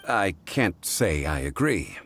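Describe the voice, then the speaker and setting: A deep, refined gentleman scholar from a video game. He is formal and composed, with lively rises and falls. Faint music plays underneath. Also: full character voice. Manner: measured, courteous, formal. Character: calm, refined, scholarly gentleman consultant with ancient wisdom.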